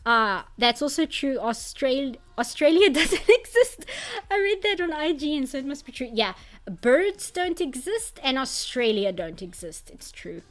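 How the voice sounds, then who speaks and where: Afrikaans-native woman with a South African accent; casual streamer, rambles and self-corrects, laughs a lot, pitched a bit high. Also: very animated, unhurried. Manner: rambling, restarts words, frequent laughs. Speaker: art streamer. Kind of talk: casual live-stream chat, reading chat aloud.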